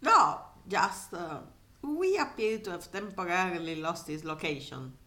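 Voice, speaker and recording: A woman's voice: on the low side, lively intonation, measured pace, pushed and bright. A woman voicing a stiff, uneasy male council elder in a space adventure story, formal and defensive. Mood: uncomfortable.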